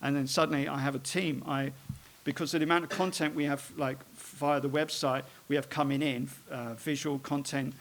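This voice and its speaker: A man at middle pitch talks about his art in a loose, unscripted way. He stops and restarts often, filling gaps with ums and uhs as he wanders from point to point. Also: steady tone. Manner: rambling, halting, many fillers and restarts. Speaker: artist giving a talk on his work.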